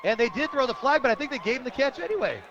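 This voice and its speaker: High-pitched American football play-by-play man. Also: sing-song, very fast, a crowd in the background. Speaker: play-by-play radio announcer. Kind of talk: live football play-by-play commentary. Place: stadium press booth, radio broadcast. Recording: muffled broadcast audio, outdoor stadium noise.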